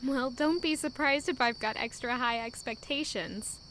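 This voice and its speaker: An actress playing a kind young maid in an audio drama, talking in an earnest, caring way. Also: female voice, high-pitched, normal speed, a bit bright, a little hiss or hum. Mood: gentle. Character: young woman maid, kind and warm-hearted.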